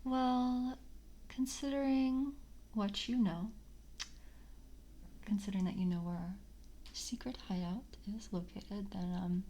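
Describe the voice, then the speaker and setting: A woman playing a polite vampire coven member in a soft-spoken ASMR roleplay, breathy and often whispering, with formal, halting courtesy. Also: medium pitch, steady tone, slight background noise, natural voice. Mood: polite, measured.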